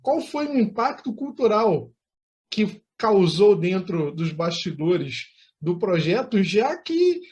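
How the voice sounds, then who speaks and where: A man's voice: high-pitched, big pitch swings, takes it slowly, a little mellow, muffled recording. A Brazilian man hosts an interview in a conversational register. His questions are wordy and courteous, with slightly formal turns of phrase. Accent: Brazilian Portuguese. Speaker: interview host.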